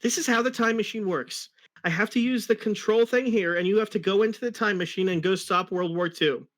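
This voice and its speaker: Man putting on an eager, high-pitched young fox sidekick. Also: brisk, close to an everyday voice. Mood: eager explaining. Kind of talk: amateur dramatic reading of fan fiction.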